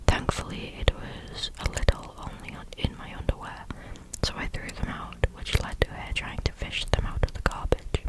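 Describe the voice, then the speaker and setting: A person speaking entirely in a breathy, unvoiced whisper, reading stories aloud in a casual, conversational ASMR style. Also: moderate pace, few pauses, low-quality recording. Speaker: host reading listeners' or online stories aloud.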